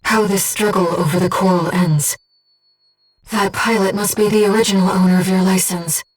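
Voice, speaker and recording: A woman acts out a disembodied female companion who guides a pilot through a video game. Her mid-range voice is soft-edged and breathy, with a slightly distant sound. She speaks with composed, earnest precision, gentle yet intent. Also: mid-range voice, fairly even intonation, normal speed, lightly acted. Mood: tender, solemn. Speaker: mission support companion. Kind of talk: video game voice acting, tactical callouts and briefings.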